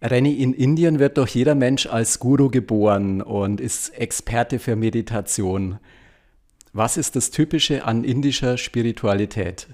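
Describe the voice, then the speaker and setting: A man's voice: mid-range voice, unhurried, a little mellow. A man hosting a podcast, asking a guest direct, friendly questions. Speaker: podcast host. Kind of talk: interviewing.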